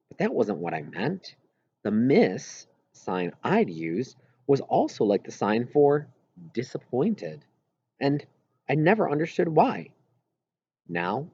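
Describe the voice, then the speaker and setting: A man with a husky voice reads a first-person novel aloud expressively, with halting pauses mid-phrase. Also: mid-range voice, a little mellow, no echo, lo-fi sound. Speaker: audiobook reader.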